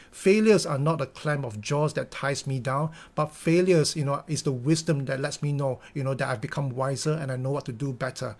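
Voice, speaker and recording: A middle-aged Singaporean man with a Singaporean English accent, giving an earnest motivational talk full of fillers and restarts. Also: on the high side. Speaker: company founder giving a talk.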